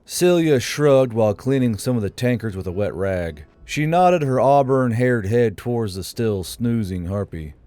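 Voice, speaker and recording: A man's voice: medium pitch, expressive, moderate pace, hardly any pauses, dark tone, no echo. A man reading scripted narration for a fantasy audiobook as its narrator.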